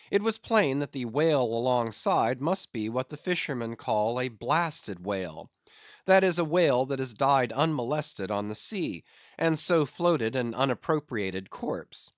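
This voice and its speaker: A man reading a literary audiobook expressively, with deliberate, theatrical phrasing of ornate prose. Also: mid-range voice, dry recording, lo-fi sound. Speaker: audiobook reader.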